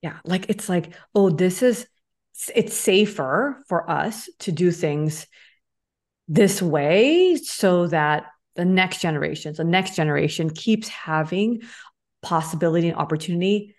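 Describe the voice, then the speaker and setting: A woman's voice: fairly low pitch, expressive, measured pace. A woman hosting a casual, reflective conversation, phrasing things informally and often checking in with tag questions. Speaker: interviewer, host.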